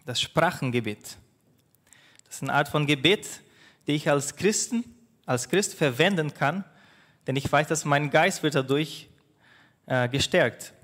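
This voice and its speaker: A man's voice: on the high side, fairly bright tone, in a large hall. A man whose first language is Portuguese, preaching a sermon as pastor, often restarting his phrases with fillers. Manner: halting, with frequent restarts and fillers.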